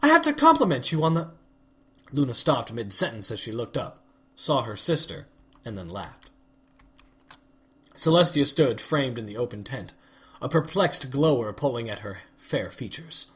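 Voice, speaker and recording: Man, story reader, expressive narration, mid pitch, animated intonation. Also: unhurried. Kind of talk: reading fan fiction aloud.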